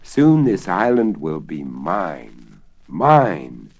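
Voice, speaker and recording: A man's voice: low voice, sing-song, extremely slow, darkish tone, heavily acted. Man playing a grand, boastful conquering king. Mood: gloating, possessive. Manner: grand, commanding, declamatory. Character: ambitious, boastful invading warrior king.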